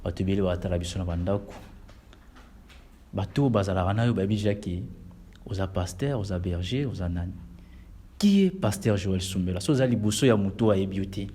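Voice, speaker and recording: A man from Congo hosts an interview inside a church, punchy and emphatic, sliding between French and Lingala. His voice sits fairly low and pushes upward on his greetings and questions. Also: normal speed, mellow, breathy edge. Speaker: web TV interviewer. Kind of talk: lively interview hosting.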